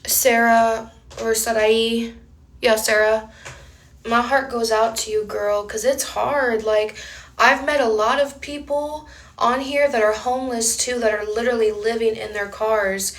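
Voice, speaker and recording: Slow-talking young woman in an echoey room. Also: a little high. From Houston, Texas. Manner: rambling, talks straight to viewers reading chat names. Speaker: livestreamer. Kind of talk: casual livestream chat.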